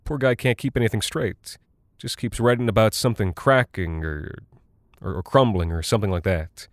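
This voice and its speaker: Preoccupied American airman , voiced by a male narrator. Lowish, hesitant mutter, blunt working-guy phrasing, dry intimate audio-drama sound. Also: moderate pace, no room sound, lightly acted. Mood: puzzled, absorbed. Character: preoccupied, puzzled male aircrew buddy, blunt and colloquial. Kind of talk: quoted dialogue in audio drama.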